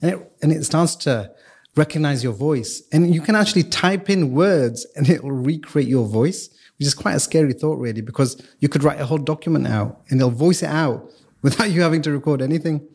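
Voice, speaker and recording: Male podcast guest, mid-pitch voice, talks through business video strategy casually, stumbles and repeats words as he goes. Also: brisk, darkish tone, husky. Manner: explanatory, many restarts and repeated words. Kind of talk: casual expert explanation.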